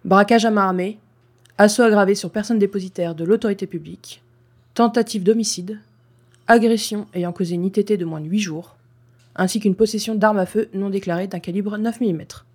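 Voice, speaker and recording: A woman playing a stern, composed police inspector in an audio drama, speaking formally and methodically with a slightly husky voice. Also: medium pitch, a little mellow, lightly acted. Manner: formal, measured, procedural. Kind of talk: scripted audio drama dialogue.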